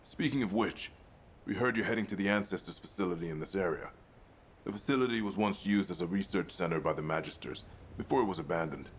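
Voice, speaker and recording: A man playing a steady lieutenant of a sci-fi investigative corps in a video game. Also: low-pitched, quick pace, slight background noise, natural voice. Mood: businesslike. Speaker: military officer escorting the player.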